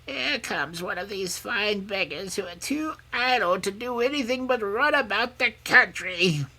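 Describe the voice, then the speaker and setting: A mean little old crone from a storybook. Her voice is pitched shrilly high and cuts sharply. She grumbles, scorns and haggles, swooping up and down with greedy mockery. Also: animated, unhurried, sharp tone, heavily acted. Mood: scornful, grumbling. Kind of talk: storybook character voice.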